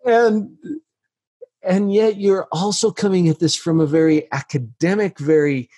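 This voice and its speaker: A man's voice: high-pitched, steady tone, measured pace, mellow, dry recording. A male host asking long, framing questions in a conversational way. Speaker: interviewer, host. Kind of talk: interview questions.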